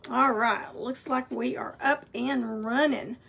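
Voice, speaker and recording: A woman's voice: fairly high pitch, steady tone, slow, gravelly, a bit bright. Woman, scratchy voice, encouraging craft teacher, folksy live stream. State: scratchy voice, clearing throat. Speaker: craft painting instructor. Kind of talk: live-streamed painting tutorial.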